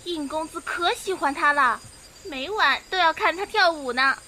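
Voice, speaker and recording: Woman playing a squeaky-high, gossipy young maid. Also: moderate pace, sharp tone. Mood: gossiping. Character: young gossipy maidservant in old China, excitable, nosy. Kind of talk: Chinese audio drama acting. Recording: clear music behind the voice.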